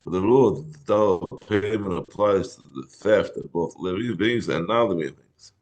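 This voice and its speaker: A hoarse middle-aged man teaching a Talmud class in a halting way, often clearing his throat. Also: deep voice, a little mellow. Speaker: Torah teacher.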